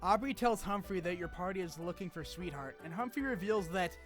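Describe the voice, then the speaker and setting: High-voiced man narrating over music. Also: lively intonation, a little fast. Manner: informal, swearing, wry asides. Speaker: video essay narrator. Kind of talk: scripted game review narration, casual commentary.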